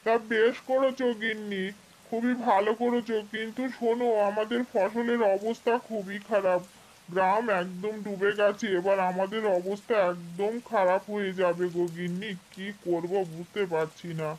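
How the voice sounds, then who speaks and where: A woman's voice: mid-range voice, fairly even intonation, normal speed, darkish tone, lightly acted, rough recording. A woman voicing a worried, kindly male farmer in a cartoon folk tale, speaking earnestly. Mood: dejected. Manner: earnest, fretful.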